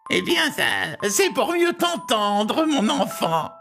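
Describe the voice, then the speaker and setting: Woman playing a high, slow, sugary fake granny. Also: big pitch swings, vocal fry, fairly bright tone, faint music behind, exaggerated voice. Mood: coaxing, sugary. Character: wolf posing as a sweet old grandmother. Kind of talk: children's fairy tale cartoon.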